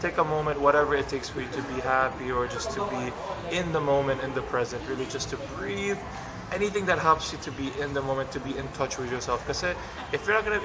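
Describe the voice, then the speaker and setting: A man's voice: mid-range voice, rough recording. A young man in his twenties from the Philippines with an American-influenced English accent, answering interview questions earnestly and slipping in Tagalog phrases. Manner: earnest, mixes in Tagalog phrases, frequent "you know". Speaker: pageant contestant.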